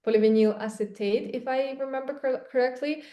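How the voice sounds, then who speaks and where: A woman's voice: high-pitched, fairly quick, warm tone, dull audio, slight background noise. A young woman from Kazakhstan with a Kazakh accent, answering interview questions about her research in an explanatory way, with frequent ums and restarts. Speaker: microplastics researcher, guest. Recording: echoey room.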